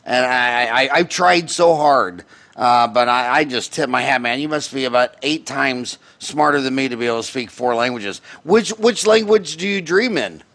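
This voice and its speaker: A man's voice: medium pitch, expressive, moderate pace, a bit bright. A middle-aged American man hosting a casual interview in a folksy, rambling way, often restarting his questions. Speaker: podcast host, dentist.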